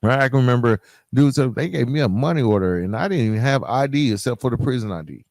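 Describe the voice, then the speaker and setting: A man's voice: mid-range voice, animated, quick pace, a little mellow, no echo, studio quality. An American man hosting a solo podcast in a conversational, emphatic way, using street slang and often checking in with the listener. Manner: colloquial street-inflected slang. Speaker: podcast host.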